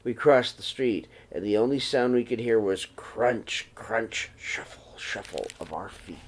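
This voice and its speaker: A man reading a children's picture book aloud like a teacher, with expressive, dramatized storytelling delivery. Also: fairly low pitch, measured pace. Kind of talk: read-aloud storybook narration.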